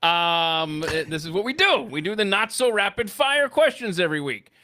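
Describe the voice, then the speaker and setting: Middle-aged guy hosting a podcast, bright lively voice, gets flustered easily. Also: high voice, moderate pace. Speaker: podcast host. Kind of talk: casual panel chat.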